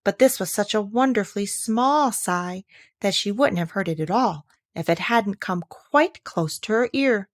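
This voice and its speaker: Female storybook narrator, mid-pitched and expressive, recorded dry without room sound. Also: unhurried, no echo. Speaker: audiobook reader.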